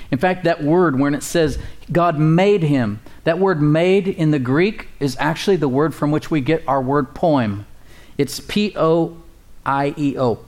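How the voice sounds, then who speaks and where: Pastor preaching with folksy warmth. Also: male voice, on the high side. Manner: conversational preaching, chuckles, emphatic repetition. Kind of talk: sermon.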